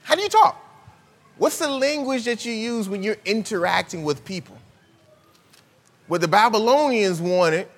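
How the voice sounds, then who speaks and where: A man delivers a sermon in a relaxed, conversational manner, stacking rhetorical questions. His voice sits a little high for a man. The recording carries a long hall reverb. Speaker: preacher.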